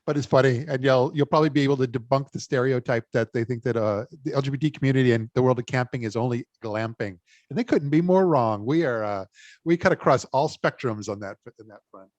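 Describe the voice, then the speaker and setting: A man hosting a casual interview, halting often with fillers and restarts as he talks over a video call. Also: mid-range voice, darkish tone, no room sound. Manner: hesitant, many fillers and restarts. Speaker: interview host.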